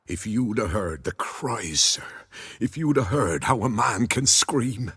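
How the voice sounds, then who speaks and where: Very raspy, haunted village peasant. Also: male voice, on the low side, lively intonation, fairly bright tone, no echo, studio quality, full character voice. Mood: grieving, bitter. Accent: British. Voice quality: hoarse, raspy, creaky. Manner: slow, haunted, rustic storytelling. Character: haunted, frightened peasant villager, rustic and mournful. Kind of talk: video game voice acting.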